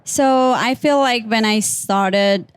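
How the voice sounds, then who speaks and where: A woman's voice: a little high, takes it slowly, clean tone. A woman from Iran with an Iranian accent, a pediatric dentist chatting easily as a podcast guest, with fillers and small laughs. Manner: relaxed, chatty, many fillers and little laughs. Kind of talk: casual podcast interview.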